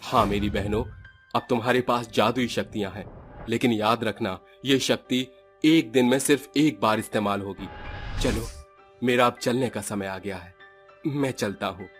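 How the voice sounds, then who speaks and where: A man voicing the calm, kindly ghost of a young soldier in a cartoon storybook, speaking in a solemn, protective way. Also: fairly low pitch, normal speed, faint music behind, lightly acted. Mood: gentle. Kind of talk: storybook character voice.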